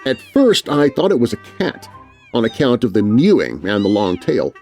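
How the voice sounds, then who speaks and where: A man tells a children's story in the first person over faint music. His delivery is very animated and conversational, as if confiding in the listener, with a mid-range voice. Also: medium pitch, normal speed. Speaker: audiobook narrator.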